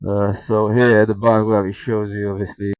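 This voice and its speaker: A man giving a casual hands-on tutorial narration, halting and thinking aloud as he goes. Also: on the low side, flat delivery, darkish tone, clean tone, no room sound. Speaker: amateur radio video tutorial presenter. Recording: dull, lo-fi close sound.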